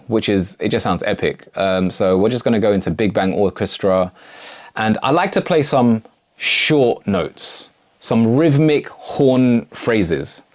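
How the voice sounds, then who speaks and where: A man presenting a casual music production tutorial in an enthusiastic, slangy way. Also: on the low side. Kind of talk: casual video tutorial narration.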